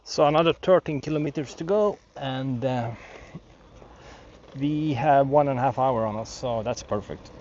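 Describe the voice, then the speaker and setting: A man's voice: medium pitch, lo-fi sound, a little hiss or hum. A man, casually narrating a cycling trip outdoors and pointing out sights along the road as he rides. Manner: relaxed, switches between Swedish and English, points out sights along the route. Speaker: vlogger and tour guide. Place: outdoors on a bicycle along country roads.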